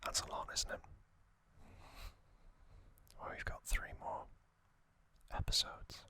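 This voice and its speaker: Whispering man, very slow ASMR delivery. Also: sharp tone. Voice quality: breathy unvoiced whisper. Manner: slow, deliberate, short phrases with pauses. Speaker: ASMR host. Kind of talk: ASMR whispered trivia recap.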